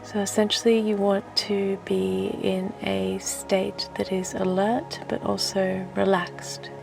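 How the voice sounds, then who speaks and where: A woman guides a relaxation meditation in a soft, even voice with noticeable vocal fry. Her delivery is gentle and soothing, with long pauses between phrases. Music plays behind her. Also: medium pitch. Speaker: meditation teacher and host.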